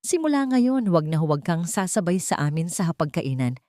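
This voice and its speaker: A woman's voice: on the low side, very animated, fairly quick, warm tone, dry recording. A woman narrator plays a cruel Filipino daughter-in-law in an audio drama story. She is haughty and disgusted, hissing her contempt in a dry, close recording. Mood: scornful disgust.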